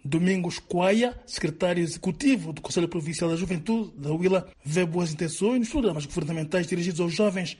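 A man narrates a news report. His voice sits high for a man and has a husky edge. He reads in a steady broadcast manner. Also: brisk, few pauses.

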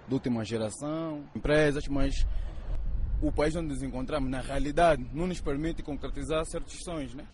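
A young man talks slowly and reflectively in an interview. He often ends on a questioning tag. Faint vehicle noise runs behind him. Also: mid-range voice, a little mellow. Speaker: student. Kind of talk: interview soundbite.

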